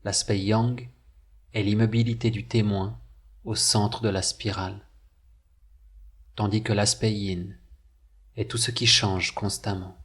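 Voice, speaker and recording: A man narrating a scripted guided meditation, with measured, deliberate phrasing and pauses. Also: fairly low pitch, level tone, a bit bright, husky.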